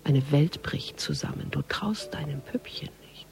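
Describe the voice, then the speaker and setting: An actress playing a sardonic, world-weary police detective in a radio crime drama, with a dry, mocking, intimate delivery. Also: female voice, low voice, a little slow, vocal fry, darkish tone, whispered. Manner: mocking, dry, half-muttered monologue.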